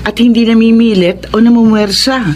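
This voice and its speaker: A woman's voice: on the high side, steady tone, normal speed, darkish tone, natural voice. An actress playing a protective, insistent middle-aged mother in a Filipino radio drama. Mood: firm, urging. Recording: noisy ambience behind dialogue.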